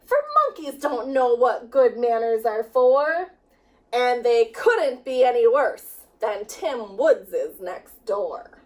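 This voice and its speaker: A woman reading a picture book to children in an animated, emphatic, sing-song storytelling manner, drawing out words. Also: fairly high pitch, takes it slowly.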